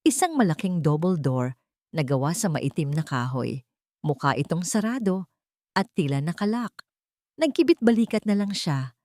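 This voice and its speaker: A woman's voice: on the low side, expressive, moderate pace, dark tone, dry recording, clean studio sound. A woman reading a scripted romance story as a dramatic narrator.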